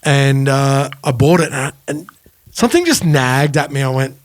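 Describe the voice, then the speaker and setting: A man's voice: mid-range voice, moderate pace, no room sound, studio quality. A man co-hosting a podcast, telling anecdotes in a casual, informal way. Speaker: podcast co-host. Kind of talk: casual music podcast chat.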